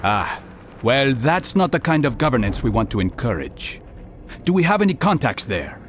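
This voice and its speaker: An actor playing a cool, resolute master assassin in a video game cutscene, with a terse, dry, controlled delivery. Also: male voice, mid-range voice, sing-song, moderate pace, slight background noise, natural voice. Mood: measured, probing.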